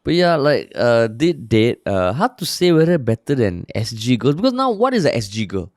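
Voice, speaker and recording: A man's voice: medium pitch, sing-song, moderate pace, no echo, studio quality. Joking Singaporean man co-hosting a podcast. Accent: Singaporean English. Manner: joking, reads listener questions. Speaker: podcast co-host. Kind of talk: casual chat.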